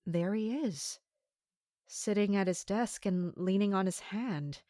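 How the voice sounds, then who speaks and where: A woman playing a dutiful, wary guard captain, speaking and narrating in a formal, measured audio drama style. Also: medium pitch, warm tone, no room sound, clean studio sound, close to an everyday voice. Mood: guarded, pensive. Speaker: narrator and lead character. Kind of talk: scripted first-person audio drama narration.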